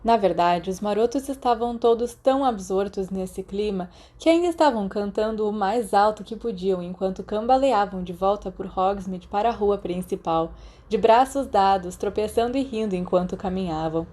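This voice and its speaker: A woman's voice: mid-range voice, moderate pace, dark tone. A woman with a Brazilian accent reading scripted story narration, as in an audiobook. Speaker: audiobook narrator of a fan fiction podcast.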